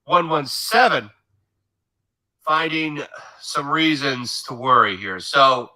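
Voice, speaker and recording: A man gives gaming news commentary from a script, measured and steady. He sounds congested from a cold. His voice is very bright and sharp, and it sits a little high. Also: rough recording.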